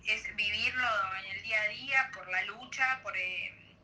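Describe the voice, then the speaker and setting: A woman's voice: mid-range voice, a little slow, sharp tone, poor audio. A young woman from Argentina answering interview questions sincerely, in a rambling, filler-heavy way.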